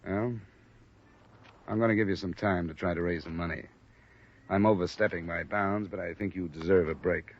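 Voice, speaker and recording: A man's voice: low voice, fairly even intonation, normal speed, pauses often. A man acting a tough but fair insurance investigator and narrator in a 1950s radio detective drama, terse and matter-of-fact with an American accent. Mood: firm, sympathetic. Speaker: lead actor and narrator. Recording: dull restored old radio recording, music.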